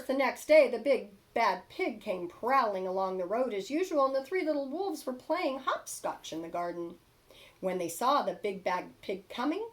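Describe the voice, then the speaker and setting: A woman reads a storybook aloud for children. Her voice is fairly high and very lively in pitch, with emphatic stresses and dramatic pauses. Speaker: storybook reader.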